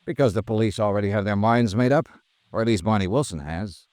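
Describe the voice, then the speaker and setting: Low-voiced, hard-boiled American radio detective played by a man. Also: fast, a little mellow, no echo, close to an everyday voice. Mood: cool, pressing. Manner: probing, wry, clipped. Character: shrewd, persistent male insurance investigator, hard-boiled and cool. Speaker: radio drama lead and narrator. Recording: restored vintage 1950s radio recording.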